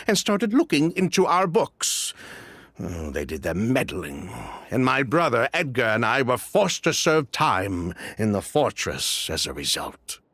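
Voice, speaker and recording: An actor playing a wary former merchant who served prison time, guarded and blunt, in a video game. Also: male voice, medium pitch, a bit bright, full character voice. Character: wary former merchant man, resentful ex-convict.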